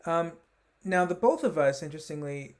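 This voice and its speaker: A man with a Los Angeles American accent, asking questions as a podcast host in a measured, inquisitive way. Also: fairly high pitch, lively intonation, darkish tone. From Los Angeles, United States. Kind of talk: conversational interviewing.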